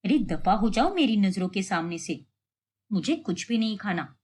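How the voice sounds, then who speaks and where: A woman's voice: mid-range voice, very animated, normal speed, darkish tone, slightly rough. A woman voicing a vain, resentful middle-aged mother-in-law in a cartoon family drama, whiny and self-important. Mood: furious.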